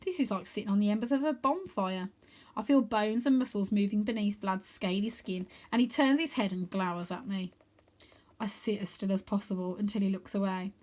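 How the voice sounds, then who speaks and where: A woman reading a children's story aloud in an expressive narrating style. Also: mid-range voice, a little fast. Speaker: storybook reader.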